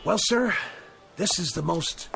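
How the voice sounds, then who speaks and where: An actor playing a courtly, friendly, tipsy gentleman in a radio drama, with music behind him. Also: sits high, slow, creaky, heavily acted.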